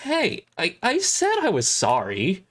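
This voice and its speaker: A performer voicing a groggy, careless man who talks in a halting, defensive way in an audio drama. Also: medium pitch, huge pitch swings, fairly bright tone, slightly rough, pitched above the performer's own voice, heavily acted. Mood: confused. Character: flustered, snarky, groggy man, careless deadbeat father.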